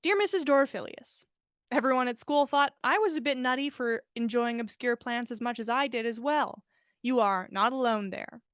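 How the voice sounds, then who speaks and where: A woman reading fan fiction aloud as a podcast host, in an expressive storytelling style. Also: a little high, moderate pace, dry recording, clean studio sound.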